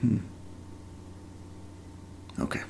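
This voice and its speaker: A man's voice: drawn-out words, a little mellow, a little hiss or hum. An actor playing an uneasy young man keeping a casual, hesitant spoken diary in a found-footage horror audio drama. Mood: uneasy, curious. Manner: casual, hesitant, muttering, self-deprecating. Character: uneasy young man recording strange noises in his new house.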